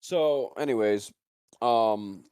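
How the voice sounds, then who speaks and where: A man's voice: mid-range voice, extremely slow, darkish tone, smooth, no echo, clean studio sound. A man co-hosting a livestream, chatting casually about conspiracies in a rambling way and swearing often. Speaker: livestream co-host.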